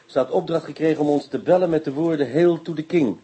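A man playing a brisk, teasing police inspector who orders his men about in a Dutch radio play. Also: a little high, mellow, natural voice. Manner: wry, bossy, shifting from intimate murmur to barked orders.

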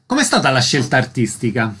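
A man's voice: medium pitch, wildly swooping pitch, brisk, pushed and bright, slightly rough. A man presenting a radio programme, reading scripted introductions and putting short questions to guests. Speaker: radio interviewer.

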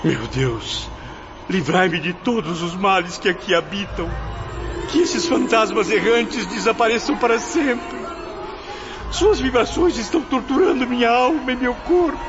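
A man's voice: high voice, unhurried, music in the background. An actor playing the anguished ghost of a proud man who took his own life, pleading and crying out in a radio drama. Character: tormented male suicide's ghost, proud and desperate. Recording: muffled lo-fi track with noise.

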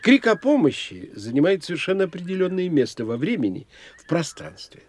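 An actor playing a self-assured, eccentric older doctor of the soul in an audio drama, speaking in a pondering, indulgent, lecturing manner. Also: male voice, on the high side, big pitch swings, a little fast. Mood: analytical. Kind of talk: theatrical audio drama dialogue.

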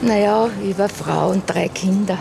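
A woman's voice: medium pitch, normal speed, vocal fry, noisy background. A woman playing a chatty older Viennese woman, telling anecdotes wistfully as in a street interview. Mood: wry, wistful.

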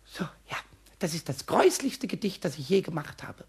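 Squeaky, raspy little cheeky kobold. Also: male voice, moderate pace, exaggerated voice. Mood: sulky, musing. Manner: excitable, stammering, repeating words. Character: small cheeky male kobold, childish, stubborn, defiant. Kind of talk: radio play acting.

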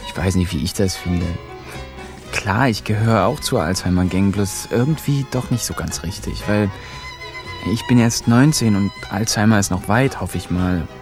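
An actor playing a laid-back nineteen-year-old care-home helper who narrates a crime radio play in an offhand, unsure, wry way. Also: male voice, lowish voice, normal speed, close to an everyday voice. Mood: hesitant, offhand. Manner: casual, offhand, hesitant. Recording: music behind the voice.

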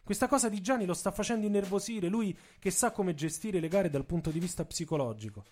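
A man with a somewhat high-set voice tells a scripted story for radio theatre. His delivery is theatrical and intense, that of a dramatic narrator. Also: expressive, a little fast, darkish tone.

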